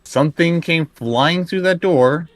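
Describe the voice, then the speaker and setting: A man's voice: on the high side, measured pace, dry recording, pitched above the performer's own voice, close to an everyday voice. A man playing a frightened, insistent college student in a tabletop horror game, stammering and repeating himself. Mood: alarmed, insistent.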